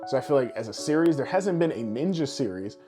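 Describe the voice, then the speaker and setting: A man co-hosting a casual podcast, rambling through his ideas in an animated way and swearing freely. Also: mid-range voice, quick pace, faint music behind. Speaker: podcast co-host. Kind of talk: casual podcast chat about games and films.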